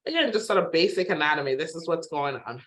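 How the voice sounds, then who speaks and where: A woman physician giving an introductory health webinar over a video call, her voice hoarse from a sore throat, conversational with frequent fillers and throat clearing. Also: deep voice, lively intonation, fast. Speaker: physician presenter. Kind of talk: webinar lecture.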